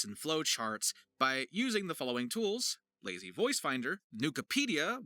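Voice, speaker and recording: A man narrating an explanatory vlog as a creator and voice actor, with emphatic, deliberate phrasing and dramatic stresses. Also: a little high, sharp tone. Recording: music behind the voice. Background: music.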